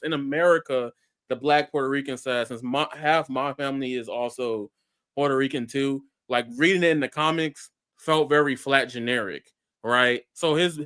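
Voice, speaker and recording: A man's voice: medium pitch, fairly bright tone. A man who is a guest on a casual panel talk, speaking hesitantly with fillers and frequently checking "right?".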